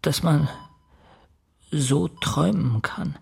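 An actor playing an unsettled patient in a radio crime drama, confiding haltingly in a gravelly voice. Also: male voice, on the high side, full character voice. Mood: halting, embarrassed. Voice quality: gravelly, creaky. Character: unsettled, hesitant male transplant patient with a stranger's face.

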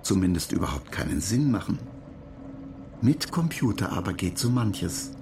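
Low-voiced, insistent machine lecturer. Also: male voice, big pitch swings, normal speed, noisy background. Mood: instructive. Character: talking data-agent machine, dutiful, insistent lecturer. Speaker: narrator. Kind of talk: German radio play lecture and dialogue.

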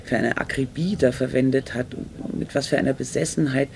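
An actor playing an earnest, quietly awed man giving an informal interview in a radio mock documentary. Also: mid-range voice, steady tone, a little fast, creaky, a crowd in the background, lightly acted. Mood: earnest, amazed. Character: earnest man who discovered a dead artist's tapes. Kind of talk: spontaneous-sounding interview in a fictional documentary.

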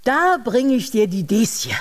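A woman playing a breezy, amused, caring aunt in a German radio drama, talking in a brisk, colloquial, motherly way. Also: on the high side, big pitch swings, no echo, studio quality, full character voice.

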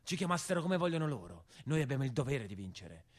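A man's voice: mid-range voice, sing-song, fast. A man playing a stern, rousing chief of brigands with a commanding, urgent manner and a southern Italian accent, in a theatrical monologue.

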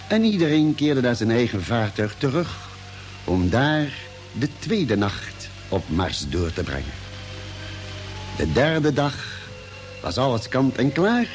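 An actor playing an expedition doctor who narrates a Mars voyage in a measured, formal style for a radio play. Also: male voice, mid-range voice. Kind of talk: scripted radio drama narration. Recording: old radio play, music underneath.

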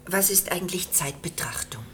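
An actress playing a wistful, gently persistent middle-aged wife in a German radio drama. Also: female voice, on the low side, lively intonation, moderate pace, in a whisper, close to an everyday voice. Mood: curious. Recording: clearly noisy old radio drama recording.

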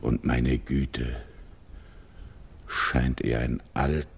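A brooding, commanding king in a classical radio drama. His voice sits very low and he declaims verse at a slow pace, full of wounded anger. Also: flat delivery, gravelly, slightly rough, heavily acted. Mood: bitter brooding. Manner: declamatory, measured verse delivery.

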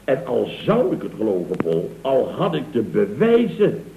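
A man's voice: medium pitch, wildly swooping pitch, moderate pace, creaky, warm tone, a little hiss or hum. An actor playing a scheming, mock-innocent contractor boss in a 1960s radio comedy, with a Netherlands Dutch accent, stammering out repeated phrases as he insinuates. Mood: sly probing. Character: wheedling, scheming male building contractor boss. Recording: muffled old radio recording, roomy sound.

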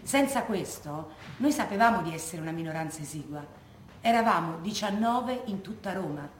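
An actress playing a lucid, firm former partisan woman who reflects in a measured stage monologue drawn from testimony. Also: on the low side, animated, close to an everyday voice. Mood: firm, explanatory. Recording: roomy, echoey stage recording with noise.

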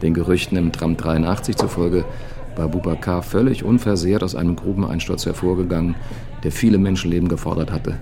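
A man narrating a literary audio drama from a script in a measured, matter-of-fact manner. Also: sits low, steady tone, creaky.

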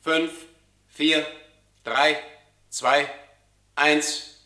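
An actor playing an emphatic, deliberate tavern announcer on a loudspeaker link in a radio play. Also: male voice, fairly high pitch, steady tone, roomy sound, exaggerated voice.

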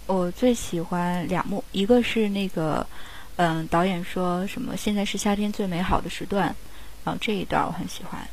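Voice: mid-range voice, fairly even intonation, moderate pace, slight background noise, low-quality recording. An interview guest talking casually and hesitantly, often searching for words. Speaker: audio drama scriptwriter, interview guest. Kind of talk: casual chat.

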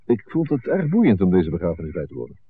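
Polite, musing inspector, thin old recording. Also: male voice, sits low, wildly swooping pitch, fast talker, phone-quality sound, slight background noise. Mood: musing, courteous. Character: urbane male police inspector, dryly curious and polite. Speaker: actor. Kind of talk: 1960s radio drama acting. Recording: thin, band-limited old radio recording.

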